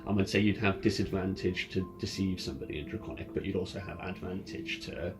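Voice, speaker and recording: A man with a fairly low voice runs a tabletop roleplaying game. He talks informally, explaining rules and setting scenes for his players. Ambient music plays clearly underneath his voice. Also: fairly even intonation, brisk. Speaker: game master. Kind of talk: casual tabletop roleplay game narration and rulings.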